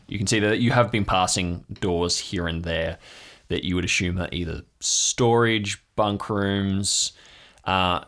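A man running an improvised tabletop adventure as game master, setting scenes in a measured narrating manner. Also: low voice, creaky, a bit bright.

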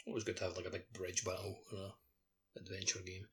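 A man on a video game podcast, chatting casually in a tentative, halting way. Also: low voice, completely flat delivery, husky. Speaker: podcast co-host.

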